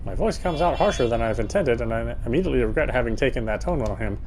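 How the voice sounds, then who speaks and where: Guy reading visual novel text out loud, medium pitch, casual asides, faint music behind. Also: animated, quick pace, rough recording. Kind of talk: expressive read-aloud narration with casual asides.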